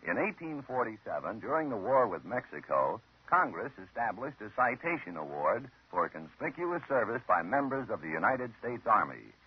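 Husky, low-voiced male radio announcer. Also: very animated, moderate pace. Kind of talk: formal 1950s scripted radio narration. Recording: muffled old radio recording.

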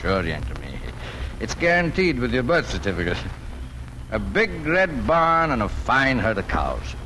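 Gentle, wistful middle-aged farmer dad, folksy and hesitant, expressive pitch, 1940s radio play sound. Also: male voice, a little slow, background noise. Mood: tender, wistful. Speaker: radio drama actor. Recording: old 1940s radio broadcast with music.

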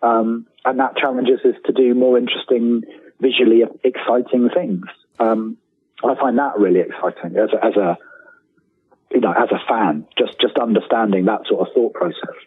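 A man speaking as an interview guest, telling anecdotes in an enthusiastic, halting way, often repeating the starts of his phrases. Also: mid-range voice, husky. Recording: thin phone-like line with room echo.

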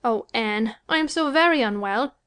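High-voiced young wife, self-pitying and self-important, forever complaining, period novel dramatisation female actor performing. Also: lively intonation, moderate pace, fairly bright tone, smooth. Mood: petulant. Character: self-pitying, querulous young married gentlewoman, fancying herself ill. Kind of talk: dramatised classic novel reading.

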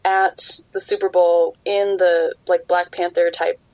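A young woman hosting a podcast, chatty and quick to laugh as she steers the talk back on topic. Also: lowish voice, flat delivery, a little mellow, dry recording, low-quality recording. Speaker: podcast host. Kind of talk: casual chat.

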